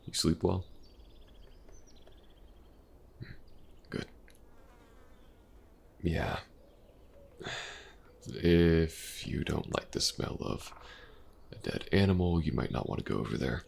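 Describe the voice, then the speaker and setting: A man playing an elven mercenary companion in an intimate fantasy audio roleplay, patient and gentle, with a hesitant, casual way of talking. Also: lively intonation, heavily acted. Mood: casual, wry. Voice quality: very deep, gravelly. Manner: hesitant, soft-spoken, casual, with halting pauses.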